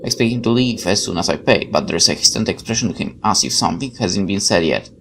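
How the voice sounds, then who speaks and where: A man with a fairly deep voice reads visual novel text aloud as a let's player. His delivery is highly animated and dramatic, mixed with musing commentary. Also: fast, gravelly, slight background noise.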